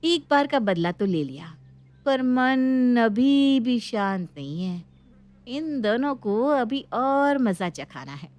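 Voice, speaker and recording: A woman's voice: on the high side, very animated, takes it slowly, darkish tone. A woman voicing a sly young sister-in-law in a story, putting on innocence and making excuses. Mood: scheming.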